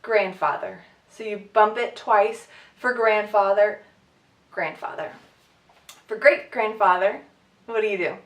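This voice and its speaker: A woman's voice: medium pitch, unhurried, pauses often, fairly bright tone. A woman with a cold, a bit short of breath, teaching a casual sign language lesson with lots of ums and light laughs. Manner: chatty, many "um"s, light laughs. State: sick, sniffly, short of breath. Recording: echoey room, far from mic.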